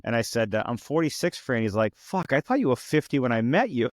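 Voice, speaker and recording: A man's voice: mid-range voice, fairly quick, dry recording, clean studio sound. A man around fifty hosting a podcast interview, talking casually and rambling a little, with frequent restarts. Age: 50s. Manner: relaxed, rambling with frequent restarts and "you know". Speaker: podcast host.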